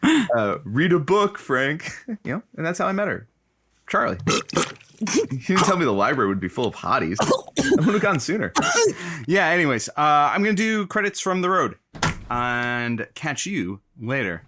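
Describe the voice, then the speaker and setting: A man's voice: a little high, big pitch swings, moderate pace, very noisy. A man playing a casual, hesitant, self-deprecating serial dater and radio sidekick in a conversational comedic audio drama. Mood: amused, grateful.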